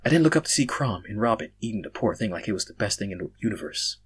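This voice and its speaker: A man's voice: on the low side, big pitch swings, fast, breathy edge. A man reading a fan fiction story aloud in an amateur way, sometimes stumbling over words and correcting himself.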